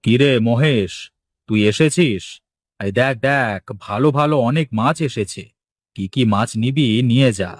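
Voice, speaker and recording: A man's voice: medium pitch, measured pace, no room sound, lightly acted. A man voicing a bossy village fish wholesaler in a cartoon, commanding and familiar with his underlings. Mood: brisk, welcoming. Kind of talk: Bengali cartoon dialogue acting.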